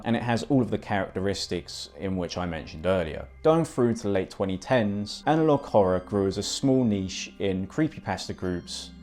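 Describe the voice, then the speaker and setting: Male video essay narrator, low register, explanatory and casual delivery, soft music bed. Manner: informal, explanatory. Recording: music behind the voice.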